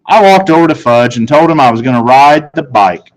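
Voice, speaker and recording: A man's voice: medium pitch, lively intonation, fairly quick, poor audio. A man reading a children's novel aloud as scripted first-person narration. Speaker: story reader.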